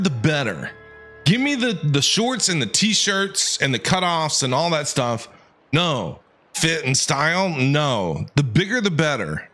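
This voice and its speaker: Guy streamer commenting on a game, chatty and opinionated, lively bright voice, bit of game music behind. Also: fairly high pitch. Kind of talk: casual game playthrough commentary.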